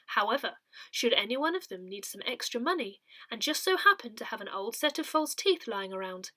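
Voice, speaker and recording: A woman with a British accent reads a community newsletter aloud. Her voice is bright and a little high, with animated, rising and falling intonation. She phrases each item in a measured, deliberate way, as a reader of notices. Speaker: volunteer reader of an audio parish newsletter. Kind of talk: scripted narration, reading a newsletter and notices aloud.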